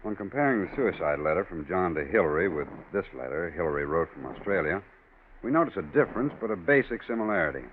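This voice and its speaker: Cautious old-time radio police sergeant, deep voice, measured, tinny recording. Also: male voice, very animated. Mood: matter-of-fact. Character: methodical, cautious male police sergeant, handwriting examiner. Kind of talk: 1940s radio drama acting. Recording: tinny, faintly noisy 1940s radio recording.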